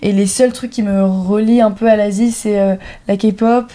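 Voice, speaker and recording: Hesitant young woman with a mellow voice. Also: mid-range voice, steady tone, moderate pace. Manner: hesitant, searching for words. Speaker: younger guest. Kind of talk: casual conversation.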